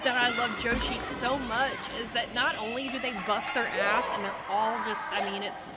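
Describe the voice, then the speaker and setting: A woman's voice: fairly high pitch, steady tone, normal speed, a loud crowd, heavy background noise. A woman co-hosting a casual wrestling stream, rambling with fillers and the odd swear. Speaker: co-host of a wrestling watch-along stream. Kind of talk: casual commentary chat.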